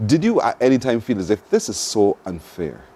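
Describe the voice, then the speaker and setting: A man hosting a morning TV show, asking measured, probing questions. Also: fairly low pitch, huge pitch swings, warm tone, breathy edge. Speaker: TV show host. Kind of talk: morning TV interview.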